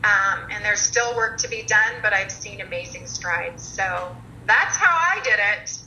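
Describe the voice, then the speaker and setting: Woman guest, very bright voice, hesitant with many ums, echoey room. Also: mid-range voice, expressive, measured pace, dull audio. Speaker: podcast guest. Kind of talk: casual conversational storytelling. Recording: echoey room with faint noise.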